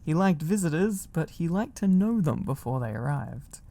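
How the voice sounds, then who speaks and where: Mellow, creaky man reading a bedtime story. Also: on the high side, very animated, moderate pace. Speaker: ASMR narrator. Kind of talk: soft storybook reading, ASMR.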